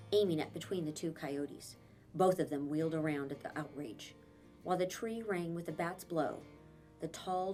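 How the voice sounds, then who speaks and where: A woman, a teacher, reading a children's novel aloud in an expressive, dramatic way with emphatic phrasing and pauses. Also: fairly low pitch. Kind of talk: expressive children's storybook narration.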